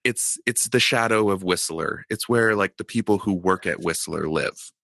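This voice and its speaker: A man's voice: deep voice, normal speed, vocal fry, fairly bright tone, dry recording, clean studio sound. A man co-hosting a podcast in casual chat, rambling with lots of fillers and restarts. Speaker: podcast co-host.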